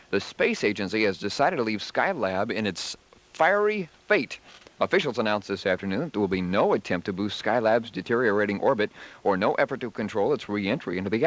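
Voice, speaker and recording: An American man working as a radio DJ, delivering music patter and news in a 1970s style. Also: on the low side. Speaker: radio DJ and newsreader.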